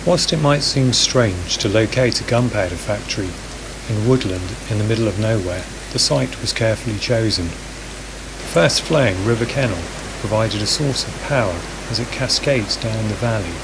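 A man reading a scripted documentary narration in a calm, measured voice-over. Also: fairly low pitch, background noise. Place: voice-over, recorded apart from the woodland footage.

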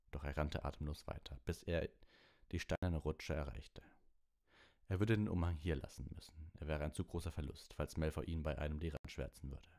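A man's voice: deep voice, warm tone. A man narrating an audiobook in a storybook reading style.

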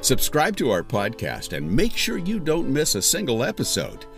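A man's voice: medium pitch, huge pitch swings, moderate pace, creaky, a bit bright. A man reading scripted podcast credits as an announcer. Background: guitar music.

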